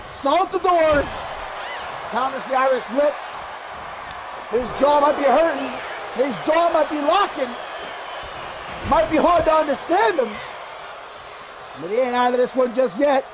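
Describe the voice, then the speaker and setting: A man calling a wrestling match as play-by-play commentator, excitable and shouting at big moves, his voice going hoarse. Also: extremely high pitch, background noise. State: losing his voice. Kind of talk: live streamed commentary.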